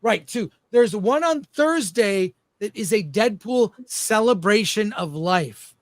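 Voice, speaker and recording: A man's voice: high-pitched, lively intonation, slow pace, no echo, studio quality. A middle-aged man hosting a casual panel talk, putting questions to his guests. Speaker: show host.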